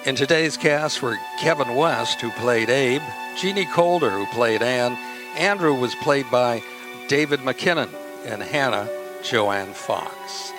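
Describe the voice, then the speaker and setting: A man reading scripted radio narration as an announcer, in a formal, measured manner. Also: medium pitch, over music.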